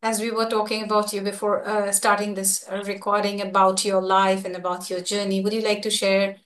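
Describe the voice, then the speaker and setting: A woman hosting an online parenting summit interview on a video call, often pausing with "uh" and "like". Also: mid-range voice, little pitch movement, a bit bright. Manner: hesitant, many "uh" and "like". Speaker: host, parenting coach.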